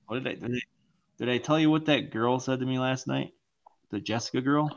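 A man's voice: mid-range voice, a little fast, creaky, dry recording, highs cut off. A man co-hosting a crude comedy podcast, blunt and offhand. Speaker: podcast co-host. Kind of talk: crude casual chat.

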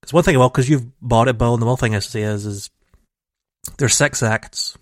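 A man's voice: medium pitch, normal speed, no echo. A man co-hosting a casual gaming podcast, talking in long rambling runs full of restarts, recorded raw on a home computer mic. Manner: rambling, many restarts and fillers. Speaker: podcast co-host. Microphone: home computer mic over a call. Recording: dry raw home audio.

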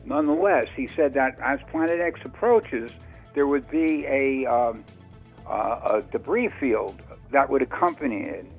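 A man speaking as a radio interview guest, unscripted and halting, often repeating himself as he searches for words. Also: mid-range voice, lively intonation, gravelly, slightly rough, faint music behind, rough recording.

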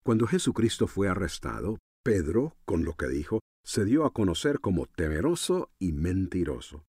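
A man preaching a radio sermon in a deliberate, expository manner. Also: low-pitched, very animated, a little mellow, slightly rough, no echo, clean studio sound. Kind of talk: radio sermon in Spanish, measured teaching.

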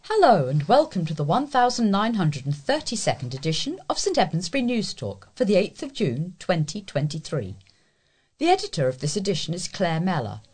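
A British woman reads local news from a script as a volunteer newsreader. Her voice has a husky edge, and her intonation is lively, rising and falling widely across each sentence. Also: lowish voice.

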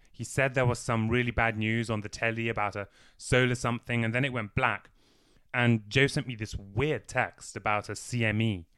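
A man voicing a calm, level-headed young man who takes charge in a firm, raised voice. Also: medium pitch, clean tone, dry recording, lightly acted.

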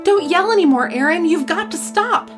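A high-pitched, tender teenage girl played by a woman pleads with her boyfriend, gentle yet firm. It is a dramatic teen-novel reading, with music clearly heard behind it. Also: big pitch swings, moderate pace.

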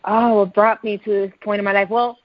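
A woman in her thirties with a Puerto Rican accent, telling her life story as a podcast guest in a fast, excited way. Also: medium pitch, no room sound. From Puerto Rico. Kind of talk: storytelling interview. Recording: dull, lo-fi podcast audio.

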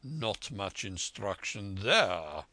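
A man voicing a sensible middle-aged country doctor in an audiobook, speaking low, wry and measured. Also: sing-song, sharp tone, full character voice. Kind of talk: audiobook character voice.

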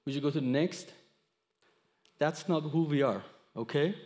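A man in his thirties from Iran, speaking English with an Iranian accent, giving an expressive sermon that mixes scripture with his own testimony. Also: on the high side, normal speed, long reverb tail, a little hiss or hum. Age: 30s. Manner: expressive storyteller, acts out dialogue, audience laughter. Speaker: guest speaker.

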